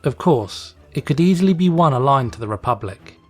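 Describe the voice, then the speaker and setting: Male narrator for dramatic fiction reading, mid-low everyday pitch range, soft music bed underneath. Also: animated, fairly quick. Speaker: fan fiction reader, narrator. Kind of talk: dramatic scripted story narration.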